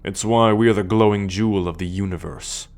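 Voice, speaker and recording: A man's voice: darkish tone, lightly acted. A man playing a cocky, teasing male alien scout warrior in an ASMR roleplay, talking straight to the listener with a drawl. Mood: explaining, proud. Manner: teasing, slow and drawling, with low chuckles.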